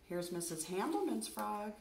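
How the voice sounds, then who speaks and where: A middle-aged woman, a nursery school teacher, reading a story aloud to young children in an expressive, deliberate way. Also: mid-range voice, gravelly. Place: furnished room at home, indoors. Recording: roomy indoor echo.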